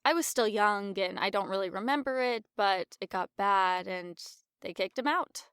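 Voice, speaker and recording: A woman's voice: a little high, sing-song, moderate pace, a bit bright, no room sound, clean studio sound. A woman playing a sarcastic, guarded young adventurer in a fantasy audio drama. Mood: wistful, confiding.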